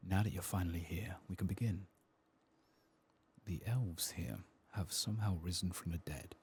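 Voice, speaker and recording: A man gives hushed, unhurried ASMR commentary over a game. His voice is low with a gravelly fry and often drops to a whisper, with faint noise and clicks behind. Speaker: gaming ASMR streamer.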